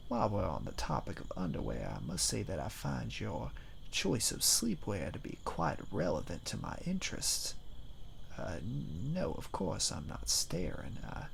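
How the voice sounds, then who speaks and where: A man's voice: lowish voice, full character voice. A man voicing a bashful, lovesick, creepy spider monster in an ASMR roleplay, stammering and apologetic with overly formal, polite wording. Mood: flustered, defensive. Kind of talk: ASMR roleplay, scripted monologue. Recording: clear ambient background noise.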